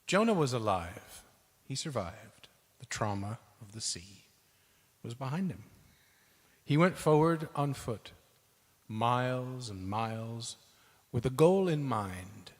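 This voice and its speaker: A man gives a dramatic spoken-word storytelling performance, theatrical, with deliberate pauses between short phrases. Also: mid-range voice. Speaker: cast member in a dramatic reading. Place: large synagogue hall. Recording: reverberant large hall.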